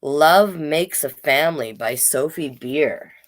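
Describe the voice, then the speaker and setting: Slow, low-voiced woman reading stories. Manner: slow, deliberate read-aloud delivery with chatty asides. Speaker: drag king storytime host. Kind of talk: children's story reading with casual chat to online viewers.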